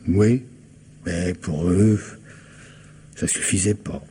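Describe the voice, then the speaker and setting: An actor playing a weary married police inspector in a radio mystery, speaking colloquially and defensively. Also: male voice, deep voice, steady tone, slow, dark tone, a little hiss or hum. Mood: guarded. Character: gruff, weary married police inspector, evasive with his wife. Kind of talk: old French radio mystery acting.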